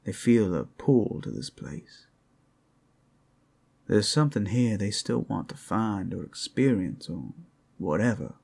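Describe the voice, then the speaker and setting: A man playing a flirtatious, rowdy but friendly werewolf in an ASMR roleplay, with a gravelly, husky voice and a folksy, teasing drawl. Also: fairly low pitch, very animated, slow pace, darkish tone, heavily acted. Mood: hushed, wary.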